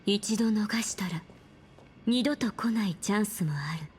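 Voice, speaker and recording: A woman's voice: medium pitch, lively intonation, fast talker, a little hiss or hum, natural voice. A woman playing a bold, mysterious young woman in an anime game, playful yet solemn and resolute. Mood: solemn, dramatic. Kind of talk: Japanese anime game voice acting.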